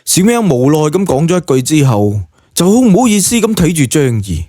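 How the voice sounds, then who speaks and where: A man's voice: on the high side, sing-song, brisk, dark tone, dry recording, clean studio sound. A man with a Hong Kong Cantonese accent narrating a serialised novel in a dramatised audiobook style.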